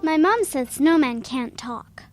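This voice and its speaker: A woman's voice: sits high, lively intonation, slow pace, over music. A woman playing an earnest, curious young schoolboy with a puzzled, childlike manner in a children's audio drama.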